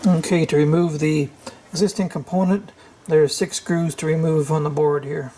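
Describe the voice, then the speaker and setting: A man giving an unscripted, hands-on repair walkthrough, thinking aloud in a halting, tentative way. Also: a little high, fairly even intonation, warm tone, slight background noise, rough recording. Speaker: repair tutorial host.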